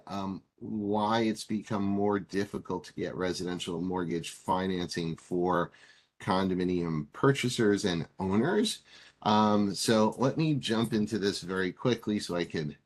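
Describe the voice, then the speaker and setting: A man presenting in an online webinar, his voice sitting on the low side. He talks in a loose, chatty way, full of "um"s and repeated false starts. The sound comes through a video call. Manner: chatty with frequent fillers and stammered restarts. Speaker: webinar presenter on condo lending. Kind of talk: presentation in a web seminar.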